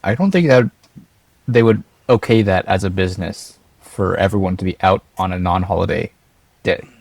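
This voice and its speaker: A man's voice: low voice, normal speed, gravelly, dry recording. A man from the United States, a podcast guest, talking casually and briefly in a laid-back way.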